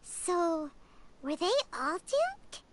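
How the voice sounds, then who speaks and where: A woman voicing a tiny, childlike, earnest fairy companion with a squeaky voice in a video game. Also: huge pitch swings, extremely slow, full character voice. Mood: musing. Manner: speaks of self in third person.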